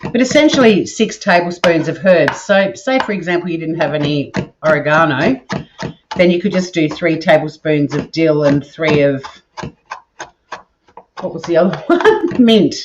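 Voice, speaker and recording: A woman's voice: low-pitched, animated, normal speed, noisy background. A woman with an Australian accent and a nasal voice, chatting casually to viewers while she cooks in her home kitchen. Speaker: cooking stream host. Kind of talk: casual live cooking chat. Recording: dull audio with kitchen clatter.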